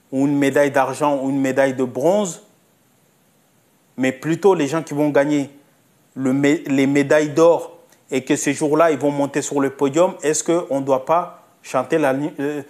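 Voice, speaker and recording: A man's voice: on the high side, a little mellow. A man delivering a spoken opinion monologue, insistent, repeating himself and asking rhetorical questions. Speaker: commentator.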